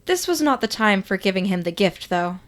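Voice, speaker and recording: A woman reading a story aloud as expressive, scripted second-person narration. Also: medium pitch, brisk. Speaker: podfic reader.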